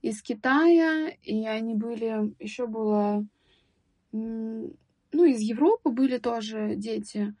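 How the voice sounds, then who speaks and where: A young woman guest on a podcast, recalling her school years in a thoughtful, hesitant way with many pauses and restarts. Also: fairly high pitch, darkish tone, no room sound. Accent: Russian speaker living in England. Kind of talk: casual podcast interview.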